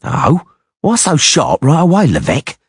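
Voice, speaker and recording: A man's voice: on the high side, wildly swooping pitch, moderate pace, creaky, breathy edge, dry recording, studio quality, voice pushed up, heavily acted. A man voicing a crude, mocking mercenary who speaks with a sneer, in an audiobook reading. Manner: mocking, foul-smiling.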